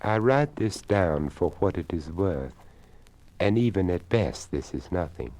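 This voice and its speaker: A man voicing an earnest, elderly deaf lady in a story, with a hushed, measured, genteel delivery. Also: deep voice, very animated, exaggerated voice. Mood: hushed, sober.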